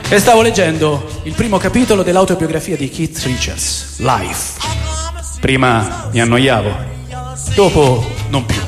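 An actor playing a restless, world-weary man who recounts wild rock-and-roll memories in a gravelly, theatrical radio drama narration. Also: on the high side, unhurried, lightly acted. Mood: intense. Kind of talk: dramatic first-person scripted narration. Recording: long studio reverb under music. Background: music.

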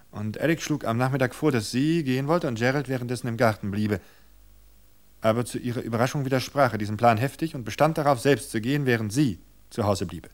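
A man narrating a novel in a measured storytelling manner, slowing for suspense. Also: medium pitch.

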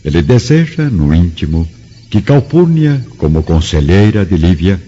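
A man's voice: low voice, very animated, moderate pace, mellow, breathy edge. A man narrating a scripted radio drama in a formal, dramatic manner. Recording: muffled recording with music underneath.

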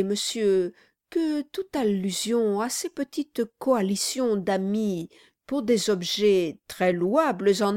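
A woman voicing an obsequious, timid male lawyer who wheedles and flatters. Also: a little high. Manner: fawning, wheedling, deferential. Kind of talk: audiobook reading.

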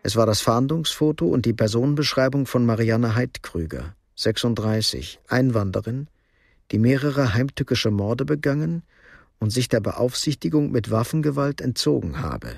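A man narrating a radio crime drama in a measured, matter-of-fact way. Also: mid-range voice, expressive, gravelly, no echo, clean studio sound. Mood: sober. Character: sober third-person narrator of a crime story.

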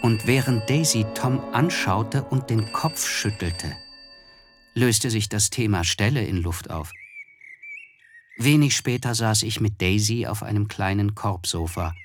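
Actor as reserved young male narrator, lowish voice, measured, reflective, observant, literary radio drama, music underneath. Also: close to an everyday voice. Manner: measured, reflective, hesitant in dialogue. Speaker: narrator and character. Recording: studio radio play with music.

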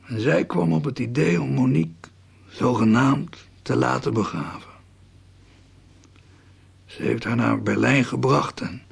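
An actor playing a sick, bitter old man in a radio soap, speaking with a husky, taunting, drawn-out delivery. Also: medium pitch, full character voice. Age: older. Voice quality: gravelly, husky. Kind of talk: 1990s radio soap acting.

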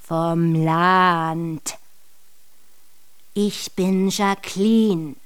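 An actress playing an odd, blunt country servant woman in a radio drama, speaking in a deliberate, repetitive way. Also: lowish voice, fairly even intonation, smooth. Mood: flat, submissive. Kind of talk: German radio drama acting.

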